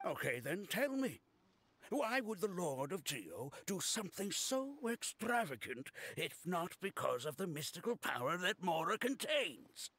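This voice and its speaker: An actor playing a self-important, excitable male archaeologist in a game, declaiming in a pompous, indignant way. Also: high-pitched, measured pace, husky, exaggerated voice. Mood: challenging. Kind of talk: English game voice acting.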